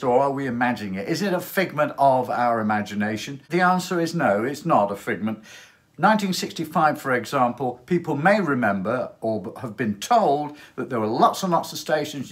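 Older man, chatty presenter with playful asides. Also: medium pitch. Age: older, about 60. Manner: rhetorical questions, playful asides. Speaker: presenter of a hobby radio channel. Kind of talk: chatty explainer to camera. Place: small attic radio room, indoors.

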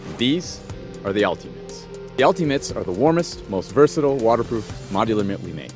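A man's voice: lowish voice, big pitch swings, a little fast, music in the background. A man in his thirties, an athlete ambassador, enthusiastically presenting winter mitts outdoors in the snow. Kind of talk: product presentation. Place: outdoors on a snowy mountain.

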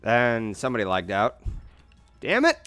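A man's voice: mid-range voice, wildly swooping pitch, normal speed, a bit bright, no room sound, faint music behind. A man playing a game on stream while chatting with friends. He narrates his fights and passes on messages from viewers. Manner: commenting on play, chummy. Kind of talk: casual voice chat while gaming.

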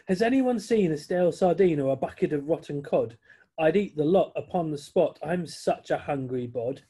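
A gushing, food-mad pelican in a children's storybook, pitched high and booming with excitement, as a man reading aloud puts him on. The voice is mellow and a little husky underneath, swooping wildly as he raves. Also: normal speed. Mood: ravenous. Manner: gushing, greedy. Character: hungry, excitable male pelican.

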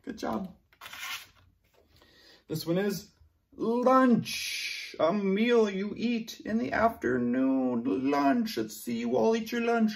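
A young man teaching young children, playful and animated, cheering them on and making sound effects while he reads a picture book aloud in a classroom. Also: high-pitched, slow, creaky. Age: young adult. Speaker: preschool teacher. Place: classroom with word cards on a board.

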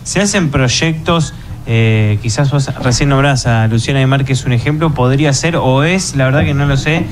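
A man's voice: medium pitch, moderate pace, a little hiss or hum. An Argentine man hosting a radio talk show, asking long conversational questions in the studio. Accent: Argentine Spanish. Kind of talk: radio interview. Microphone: studio microphone.

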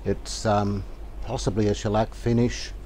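An older man calmly talking through a restoration job outdoors, thinking aloud as he works. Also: fairly low pitch, a little hiss or hum. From Australia. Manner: thinking aloud, calm and unhurried, plain-spoken with fillers. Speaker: radio restorer, channel host. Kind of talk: casual hands-on workshop narration. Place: outdoor verandah in the garden.

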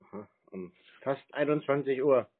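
A man talking curtly and teasingly on a phone call, deadpan and confrontational. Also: mid-range voice, normal speed, husky, no echo. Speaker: scam-baiting call recipient, channel host. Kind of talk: phone conversation, curt and teasing. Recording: narrow-band phone call audio.

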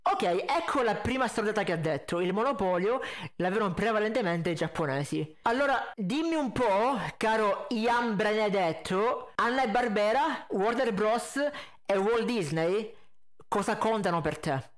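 A man's voice: high-pitched, lively intonation, measured pace. A man, giving casual, critical commentary as a reaction video host into a close microphone. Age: 20s. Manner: critical, explanatory. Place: indoor home streaming setup with green screen. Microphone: close condenser mic on boom arm with pop filter.

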